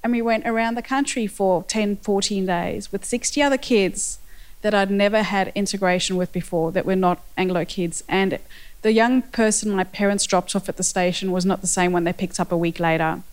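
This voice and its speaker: A woman's voice: medium pitch, steady tone, normal speed. A woman in her forties with a South African accent, speaking earnestly as a panel guest and sharing personal reflections.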